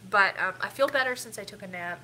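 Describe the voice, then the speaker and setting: A woman's voice: mid-range voice, quick pace, sharp tone, a little hiss or hum. A middle-aged woman with a stuffy, sniffly nose, rambling casually with lots of ums while hosting a family live stream. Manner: rambling, chatty, with frequent ums.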